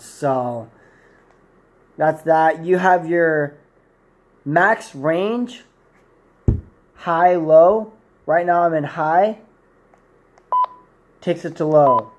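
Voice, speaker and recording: A man's voice: a little high, a little mellow. A man in his twenties giving a rambling, informal product review to camera in a room at home. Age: 20s. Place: small indoor room at home.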